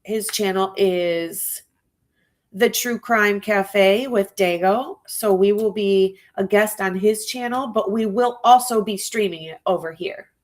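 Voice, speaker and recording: A woman's voice: mid-range voice, slow pace. A woman hosting a true crime livestream, musing aloud to her viewers with a stuffy nose. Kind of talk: casual live-stream commentary.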